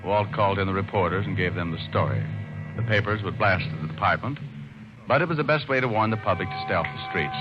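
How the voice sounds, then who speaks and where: An actor playing a cool, hard-boiled private detective, narrating in clipped, matter-of-fact style for a radio noir. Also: male voice, deep voice, expressive, quick pace. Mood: grim, matter-of-fact. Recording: muffled vintage radio, music behind.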